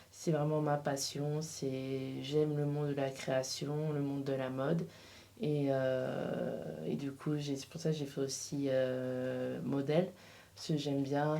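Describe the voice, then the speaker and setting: Woman, low voice, flat intonation, hesitant, casual interview answers. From Portugal. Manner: hesitant, searching for words. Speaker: interview guest, freelance embroiderer.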